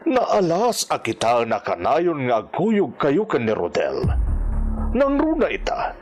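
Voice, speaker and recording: A man's voice: fairly high pitch, huge pitch swings, takes it slowly, soft background music, heavily acted. An actor with a Filipino accent playing a stern, reproachful father who scolds in radio drama style. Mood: disapproving. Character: stern, reproachful father protective of family reputation.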